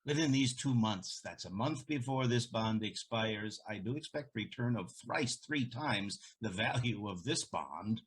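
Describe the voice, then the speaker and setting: Man acting a low, husky, haughty old merchant. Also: expressive, unhurried, hardly any pauses. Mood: confident. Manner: haughty, declamatory. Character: Antonio, proud, scornful elderly merchant. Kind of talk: theatrical Shakespearean audio drama acting.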